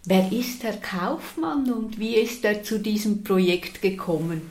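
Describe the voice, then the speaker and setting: A woman host giving a formal scripted introduction and then asking a guest questions in a measured way. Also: mid-range voice, expressive, a little mellow. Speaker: interviewer, host.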